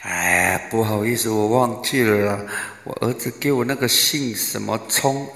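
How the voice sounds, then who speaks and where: A man's voice: fairly low pitch, moderate pace, creaky, fairly bright tone. A man playing a rambling, flustered old Taiwanese granny in a comic radio drama, with echoey reverb. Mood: apologetic, flustered. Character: muddled chatty old Taiwanese granny passenger.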